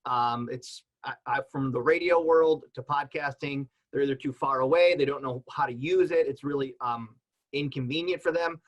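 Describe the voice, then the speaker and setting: A man's voice: mid-range voice, normal speed. A plain-spoken man giving casual, practical advice as a panelist in a conversational way. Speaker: panelist with radio and podcasting experience.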